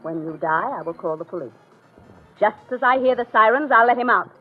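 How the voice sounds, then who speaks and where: Scheming murderous wife, tinny old radio. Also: female voice, expressive, brisk, breathy edge, faint music behind. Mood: cold, menacing. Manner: theatrical, commanding, swinging from hushed menace to shrill. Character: cold scheming murderous wife, jealous and nervy. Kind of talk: old-time radio horror drama acting.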